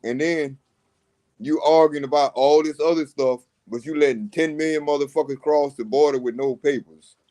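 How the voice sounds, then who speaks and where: A man's voice: on the high side, steady tone, dark tone. A man in casual political chat, heated and profane, leaning hard on his words. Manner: heated, profane, drawn-out emphasis.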